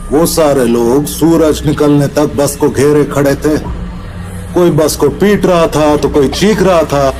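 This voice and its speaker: Slow, high-pitched mocking village man. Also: a little mellow, low-quality recording. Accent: rural Haryanvi-Rajasthani Hindi. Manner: sneering, impatient, drawling. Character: cynical, mocking, rough-mannered village man. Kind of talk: audio drama acting.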